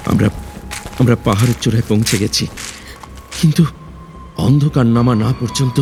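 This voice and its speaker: A watchful young prince, acted by a man in a fairy-tale radio play. His mid-pitched voice is protective and urgent, giving cautious commands over clear background music. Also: brisk, close to an everyday voice. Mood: tense warning. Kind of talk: Bengali fairy-tale audio drama acting.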